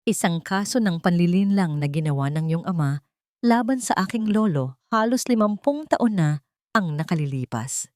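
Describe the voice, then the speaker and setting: A woman voicing a vengeful, wealthy father who speaks in a measured, grave, accusing way in a melodrama. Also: fairly low pitch, expressive, a little mellow, no echo, clean studio sound, natural voice. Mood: cold, menacing. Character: vengeful rich father, coldly menacing, judge-like man. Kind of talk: Tagalog melodrama story dialogue.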